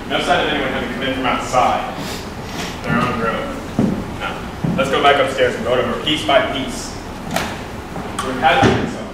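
A young man acting a brisk, condescending investigator of the early 1900s in an amateur stage play. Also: mid-range voice, fairly even intonation, lightly acted. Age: young adult. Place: small theatre stage, filmed from audience. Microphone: distant camera. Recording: very echoey, very noisy distant stage recording.

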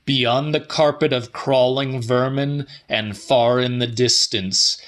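A man giving a dramatic, theatrical reading of a horror audiobook in measured phrases. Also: mid-range voice, fairly bright tone. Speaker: audiobook reader. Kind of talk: dramatic scripted horror narration.

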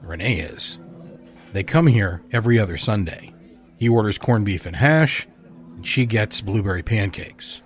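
A man playing a steady, loyal, guarded friend in naturalistic audio drama dialogue. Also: on the low side, normal speed, lightly acted. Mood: earnest, hesitant.

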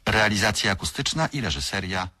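A male radio announcer with a deep, bright voice reads in a formal, measured way. His intonation moves in lively steps, with faint music present. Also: dry recording, clean studio sound. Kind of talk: formal scripted credit reading.

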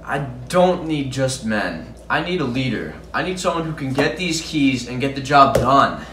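Lowish male villain, acted, power-hungry, commanding, deliberate, roomy distant recording. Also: close to an everyday voice. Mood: scheming, commanding. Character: power-hungry villain plotting to rule a city. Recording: echoey room, distant mic, background noise.

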